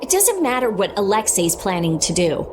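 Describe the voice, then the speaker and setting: A woman's voice: medium pitch, sing-song, normal speed, noisy background, natural voice. A woman playing an earnest, emotional young heroine in a dramatic video game. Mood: resolute.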